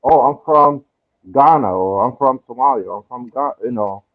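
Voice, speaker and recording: A man talking as a guest in a casual discussion, relaxed and thinking aloud with lots of fillers. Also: medium pitch, moderate pace. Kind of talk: casual chat. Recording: tinny phone-like line.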